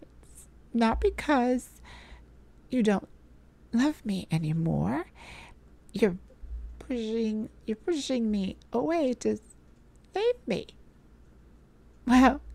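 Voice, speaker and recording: A woman playing a tender, heartbroken but resolute mage in an emotional audio roleplay, speaking intimately and haltingly with a pleading manner. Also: mid-range voice, animated, creaky, darkish tone, exaggerated voice. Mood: sad, insistent.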